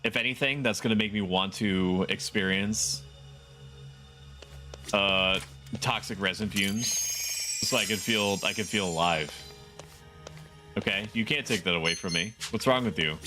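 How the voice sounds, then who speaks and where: A man's voice: on the low side, measured pace, long pauses. A man live-streaming a video game, rambling casually and laughing often as he plays. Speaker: VTuber streamer. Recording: game music playing under the voice. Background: game music.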